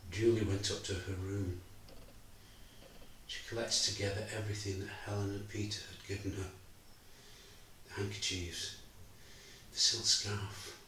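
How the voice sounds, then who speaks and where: A man playing a grieving older father. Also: lowish voice. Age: 60s. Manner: subdued, measured storytelling, growing quieter. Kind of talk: theatre monologue. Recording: very echoey room, mic far away, faint hiss.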